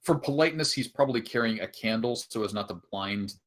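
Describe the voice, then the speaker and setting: A man playing a tabletop role-playing game, chatty and friendly, getting animated when speaking for his character. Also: lowish voice, quick pace. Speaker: player. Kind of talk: casual tabletop play. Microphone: headset or desk mic on a voice call.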